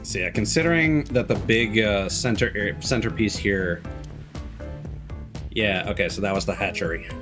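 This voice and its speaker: A man's voice: lowish voice, normal speed. A man giving casual live commentary as he streams a video game. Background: game music.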